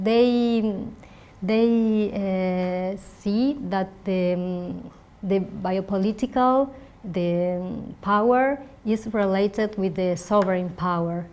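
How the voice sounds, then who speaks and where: Slow, halting Argentine woman speaking English. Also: mid-range voice, darkish tone, clear, resonant tone. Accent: Argentine Spanish. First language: Spanish. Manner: halting, searching for words. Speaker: academic conference speaker. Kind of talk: academic talk and answering questions. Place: indoor university conference room. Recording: noisy conference room recording.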